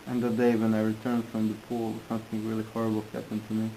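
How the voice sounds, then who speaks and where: A man interpreting into English, halting and restarting in phrasing. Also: lowish voice, monotone, warm tone, a little hiss or hum, rough recording. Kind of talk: consecutive interpreting.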